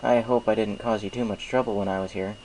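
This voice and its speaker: A man's voice: on the low side, steady tone, a little mellow, a little hiss or hum, close to an everyday voice, poor audio. Man as a hesitant, polite winged pony. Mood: nervous. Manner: halting, awkward.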